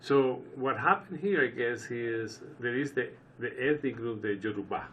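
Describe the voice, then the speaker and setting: A man hosts a radio interview, introducing his guest and asking questions in a conversational, halting way full of restarts. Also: mid-range voice, a bit bright, distant mic, lo-fi sound, a little hiss or hum.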